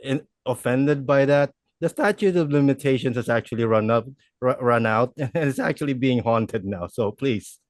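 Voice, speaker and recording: A man with a medium-pitched voice and a very dry sound. He chats casually as a podcast co-host, wry and teasing in his delivery. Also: normal speed, few pauses, dry recording.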